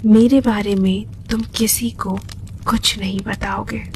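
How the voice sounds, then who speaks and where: Woman doing a seductive female spirit for a horror story, breathy n whispery, dark soft tone, really unhurried. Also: medium pitch, steady tone, slight background noise. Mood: possessive, seductive. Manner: slow, breathy, whispery, deliberate delivery. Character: seductive possessive female demon spirit demanding vows. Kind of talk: Hindi horror audio story acting.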